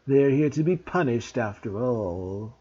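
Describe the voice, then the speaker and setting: A man voicing a bullying old male school caretaker, drawn-out and cackling with nasty glee in a husky tone, on a dull lo-fi recording. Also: medium pitch, animated, warm tone. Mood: cold.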